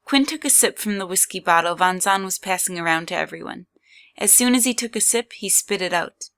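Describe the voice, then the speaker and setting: A woman's voice: on the low side, a little fast, fairly bright tone. A woman reading scripted third-person story narration as the narrator of an audio drama.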